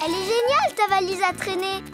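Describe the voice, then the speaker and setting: A woman's voice: very high-pitched, quick pace. A woman voicing a bold, curious young female elephant in a cartoon, eager and nosy in a cheerful way. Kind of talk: cartoon voice acting. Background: music.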